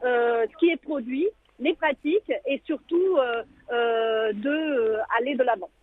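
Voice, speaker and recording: A woman from Italy, answering a live radio interview as a guest, halting with many fillers and restarts. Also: high-pitched, slight background noise. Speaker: museum director, guest. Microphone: phone. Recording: tinny phone line.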